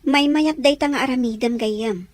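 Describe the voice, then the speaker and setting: A woman with an Ilocano accent playing a sympathetic, animated confidante who gives practical advice in an audio drama. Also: fairly high pitch, moderate pace, no echo, lightly acted. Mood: surprised, advising. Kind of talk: Ilocano radio-style drama acting.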